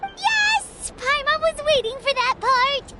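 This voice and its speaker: Squeaky, very high childlike fairy. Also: female voice, animated, slow pace, very noisy, full character voice. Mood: eager. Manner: speaks of self in third person. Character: tiny gluttonous childlike fairy companion, female, cheery and whiny. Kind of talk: anime-style game voice acting.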